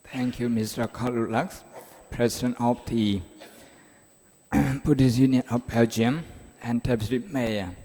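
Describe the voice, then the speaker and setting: A man chairing a conference session, briefly introducing speakers and clearing his throat. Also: medium pitch, fairly even intonation, measured pace, dark tone. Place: large conference hall. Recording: long hall reverb.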